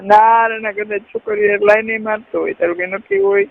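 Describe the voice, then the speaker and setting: A woman's voice: medium pitch, sing-song, brisk, vocal fry, slight background noise. A young adult woman, chatting casually and intimately as a caller on a recorded phone call.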